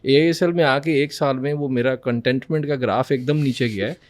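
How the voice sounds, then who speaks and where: A man's voice: mid-range voice, brisk. A man in his forties with a Pakistani accent, giving casual personal testimony as a guest in a candid, self-deprecating way, mixing in English. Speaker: student, podcast guest.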